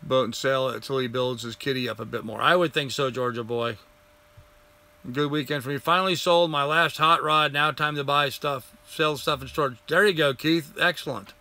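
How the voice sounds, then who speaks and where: Bright-voiced older man hosting a live stream. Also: mid-range voice, moderate pace. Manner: rambling, friendly, greets viewers by name. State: hoarse. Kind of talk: casual live stream chat reading viewer comments.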